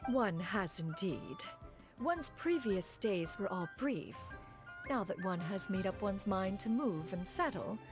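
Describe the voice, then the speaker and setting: A proud, refined video game immortal. She speaks in haughty, archaic, formal phrases with an animated rise and fall, over faint music. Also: medium pitch. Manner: haughty, archaic, formal, calls herself "one". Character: proud, refined, ancient immortal woman adjusting to city life. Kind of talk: video game character dialogue.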